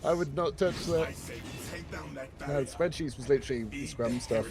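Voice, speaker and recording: A middle-aged man chatting casually while gaming, telling animated work stories and acting out what others said. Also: medium pitch, moderate pace, over music. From England. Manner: animated storytelling, acting out quotes with laughs. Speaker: stream guest. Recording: background noise under voice.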